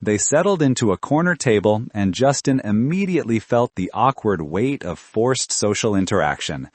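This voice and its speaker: A man's voice: lowish voice, lively intonation, moderate pace, a little mellow, husky, no room sound. A man narrating fiction as a scripted audiobook reader.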